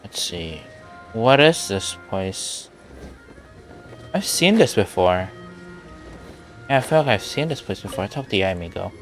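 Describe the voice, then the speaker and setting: Male streamer, lowish voice, casual gaming commentary, half to himself, faint music behind. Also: big pitch swings, unhurried, long pauses, fairly bright tone. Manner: muttering to himself while playing. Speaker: game streamer.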